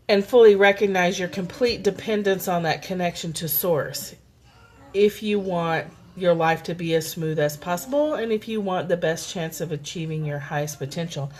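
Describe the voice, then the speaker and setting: A woman hosting a live stream from home, reading a daily spiritual text and talking it through in a relaxed, unhurried way, greeting viewers by name. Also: on the low side. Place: home, children in background. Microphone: phone.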